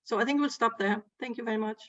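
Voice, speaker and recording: A woman's voice: a little high, fast, fairly bright tone. A woman from Denmark, speaking as a panelist about her career in groundwater work, with frequent ums. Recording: dull lo-fi sound.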